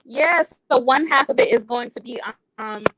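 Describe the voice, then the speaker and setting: A woman's voice: fairly high pitch, normal speed, a bit bright, low-quality recording. A woman in her twenties, a guest poet and artist from Connecticut, chatting on a video call, bubbly and slangy with plenty of laughs. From Connecticut, USA. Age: 20s. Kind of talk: casual interview chat.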